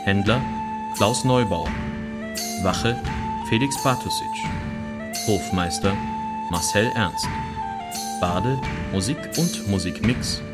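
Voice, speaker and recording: A man's voice: fairly low pitch, takes it slowly, long pauses. A man reading scripted credits as an announcer. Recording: loud music under the voice. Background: loud music.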